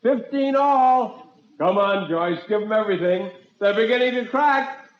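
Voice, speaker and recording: A man playing an excited young tennis player calling out across the court in an old radio drama. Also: sits high, a little slow, roomy sound, pitched above the performer's own voice. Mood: excited, cheering. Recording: dull old radio recording.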